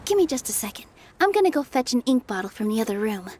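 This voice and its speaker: Gentle, high-voiced young heroine. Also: female voice, very animated, quick pace, a little hiss or hum. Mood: bright, helpful. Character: gentle, earnest young woman dancer with newfound fairy-tale magic. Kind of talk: English game dub voice acting.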